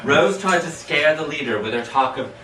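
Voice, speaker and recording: A man reads storytelling verse aloud in a theatrical, sing-song manner, his pitch moving up and down dramatically. He sounds some way off from the microphone, with the echo of the room around his voice. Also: moderate pace. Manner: expressive, dramatic, sing-song rhyming delivery. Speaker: amateur poet reading their own poem. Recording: echoey room, distant mic, background hiss.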